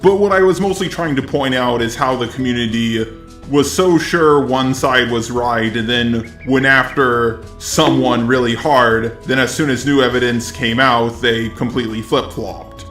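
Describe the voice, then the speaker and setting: A synthetic text-to-speech man's robot voice delivering flat, self-deprecating commentary. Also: mid-range voice, moderate pace. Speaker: YouTube commentator responding to criticism. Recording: echoey, faint music behind.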